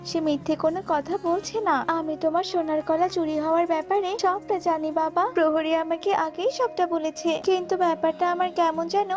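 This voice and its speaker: A woman's voice: extremely high pitch, steady tone, moderate pace, hardly any pauses, lo-fi sound, music in the background. A woman playing a brave, confident young princess devoted to her father in a children's cartoon fairy tale, speaking earnestly and resolutely. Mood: earnest reassurance.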